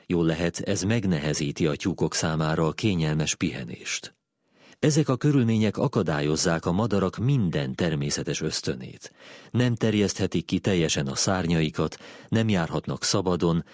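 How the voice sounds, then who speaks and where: A man's voice: low-pitched, expressive, a little mellow, dry recording, studio quality. A man reading a written text aloud as scripted narration. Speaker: narrator reading a philosophy essay.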